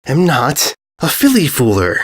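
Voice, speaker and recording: A young storybook mare, played by a man, embarrassed and prickly. Her delivery is defensive and exasperated, with animated swings of pitch and a grumbling edge. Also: unhurried, slightly rough, exaggerated voice. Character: sulky, flustered young female pony, prickly and embarrassed daughter.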